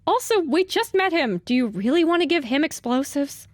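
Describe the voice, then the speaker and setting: A woman voicing a small, feisty young mare heroine, bossy and sarcastic, with a brisk, assertive delivery. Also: high-pitched, lively intonation, no echo, voice pushed up. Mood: challenging.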